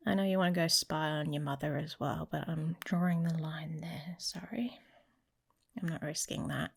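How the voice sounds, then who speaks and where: A woman voicing a protective, teasing werewolf mate in an intimate ASMR romance roleplay, speaking softly and casually, often slipping into a whisper. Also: low-pitched, steady tone, moderate pace, gravelly, husky, exaggerated voice. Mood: dry, reassuring. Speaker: audio roleplay performer.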